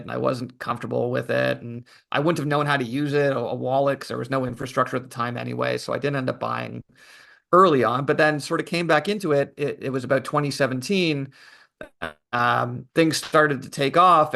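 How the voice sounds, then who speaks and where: A man, an author guesting on a podcast, who tells his story in a relaxed, thoughtful way with lots of fillers. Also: medium pitch, vocal fry. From Canada. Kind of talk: casual interview answers.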